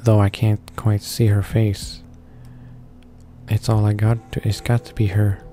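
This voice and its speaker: Guy reading out game narration, flat and mellow, stumbles a bit, faint music. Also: lowish voice, a little slow. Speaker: video game let's player.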